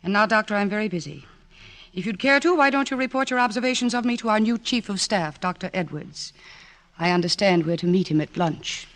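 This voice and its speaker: A woman's voice: mid-range voice, expressive, brisk, fairly bright tone. An actress playing a cool, guarded woman psychiatrist with formal, clipped speech in a 1940s radio drama. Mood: cool, dismissive. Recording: old radio broadcast with faint noise.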